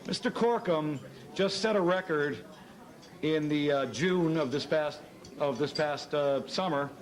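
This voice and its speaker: A middle-aged man emceeing a banquet with a hoarse, scratchy voice, talking in a chatty, self-deprecating, rambling way. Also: fairly high pitch, a little slow. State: hoarse from shouting. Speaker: master of ceremonies. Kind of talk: casual speech at a banquet. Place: hotel banquet hall.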